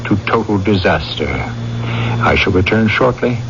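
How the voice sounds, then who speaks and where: A man hosting a 1970s radio mystery, narrating in a measured, ominous storyteller's manner. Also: on the low side, lively intonation, vocal fry. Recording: muffled vintage radio recording with music.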